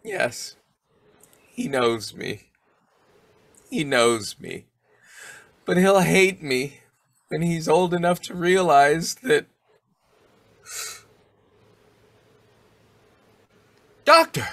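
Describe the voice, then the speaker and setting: An actor playing an overwrought, emotional father in an old-time radio drama, halting and repeating himself. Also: on the high side, big pitch swings, gravelly, a bit bright, dry recording, full character voice. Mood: anguished. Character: overwrought, tearful, grateful young father.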